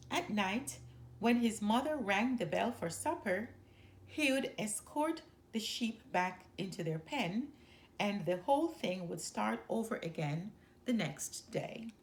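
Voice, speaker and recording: A woman reading a children's story aloud as a storyteller, with deliberate, expressive phrasing. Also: mid-range voice, slight background noise. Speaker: storyteller, channel host.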